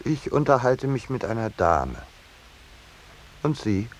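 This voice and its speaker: An actor playing a peace-loving landscape painter, courtly and dryly ironic, in a 1950s radio play. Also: male voice, mid-range voice, very animated, takes it slowly. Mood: urbane irony. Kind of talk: 1950s German radio play acting with narration. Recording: noisy 1950s radio drama recording.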